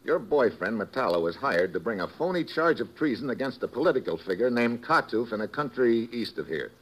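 A hard-boiled radio secret agent. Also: male voice, medium pitch, sing-song, fairly quick, low-quality recording. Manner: hard-boiled, laconic narration. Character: tough, wisecracking male special agent. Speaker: narrator and lead agent. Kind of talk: 1950s radio drama acting. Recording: old radio recording with music.

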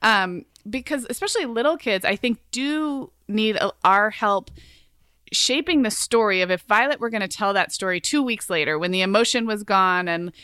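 Bright, clear woman explaining thoughtfully. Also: mid-range voice, moderate pace, no echo, clean studio sound. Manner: thoughtful, explanatory, speaks in example phrasings. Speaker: podcast co-host. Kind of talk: casual conversational advice talk.

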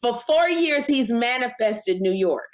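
A woman chatting casually in a group, telling long drawn-out stories. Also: a little high, lively intonation, a little hiss or hum. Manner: storytelling, drawn-out phrasing.

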